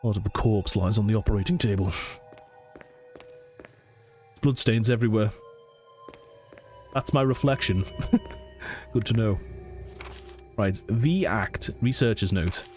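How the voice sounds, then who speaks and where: A man's voice: on the low side, darkish tone. Sniffly man with a cold. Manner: chatty, thinks aloud, reads text slowly. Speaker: let's play gamer. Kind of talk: casual gameplay commentary, reading in-game notes aloud. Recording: faint game music behind.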